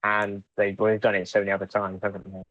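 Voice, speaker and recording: A man's voice: on the low side, monotone, quick pace, no room sound. A man co-hosting a podcast in casual, conversational fan chat. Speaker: podcast co-host. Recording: dull, dry, band-limited microphone.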